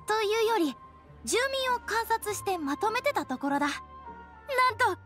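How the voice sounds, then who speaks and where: A woman's voice: very high voice, big pitch swings, normal speed. A woman voicing an earnest, eager teenage girl ranger in a video game, speaking plainly with slight hesitation. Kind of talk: Japanese game character acting. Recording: soft music behind the voice.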